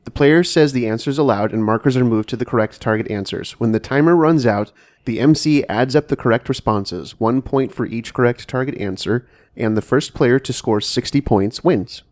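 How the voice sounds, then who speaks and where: A man's voice: mid-range voice, moderate pace, darkish tone, no echo. Congested man, wry and sarcastic. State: congested from a cold. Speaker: podcast co-host. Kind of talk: casual chat about board games.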